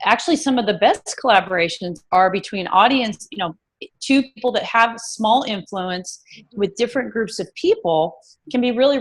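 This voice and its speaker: Chatty woman host with a froggy voice. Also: mid-range voice. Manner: chatty, many fillers and restarts. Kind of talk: casual interview.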